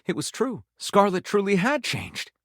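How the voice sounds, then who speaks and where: Game narrator: theatrical, salacious, melodramatic storyteller, very lively intonation, dry studio sound. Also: male voice, sits high, normal speed, creaky, no room sound, exaggerated voice. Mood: melodramatic, gleeful. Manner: theatrical, suggestive, grandiose. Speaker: game character voice actor. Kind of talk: dramatic scripted narration.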